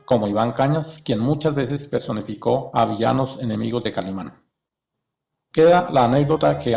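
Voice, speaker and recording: A man's voice: medium pitch, creaky, a little mellow. A man reading scripted documentary narration.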